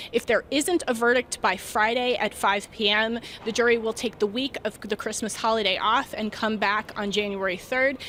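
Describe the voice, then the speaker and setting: Woman reporter live on remote, highish voice, emphatic, little background noise. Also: a bit bright. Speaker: news reporter.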